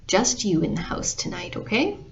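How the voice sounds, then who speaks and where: A woman voicing a calm, assured wise woman who gives advice in a children's story. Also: on the low side, moderate pace, full character voice. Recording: dull, lo-fi audio with clear noise.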